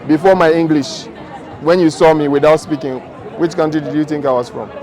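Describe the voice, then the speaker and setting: A man's voice: on the high side, fairly even intonation, brisk. A Ghanaian man hosting a casual, teasing street interview. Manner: upbeat, teasing questions. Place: busy city street outdoors. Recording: loud street crowd and music.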